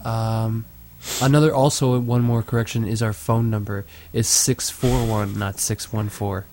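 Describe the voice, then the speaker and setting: A man's voice: on the low side, fairly even intonation, unhurried, darkish tone, slight background noise. A man co-hosting a crude casual chat show, talking in a profane, offhand way that often trails off. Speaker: podcast co-host.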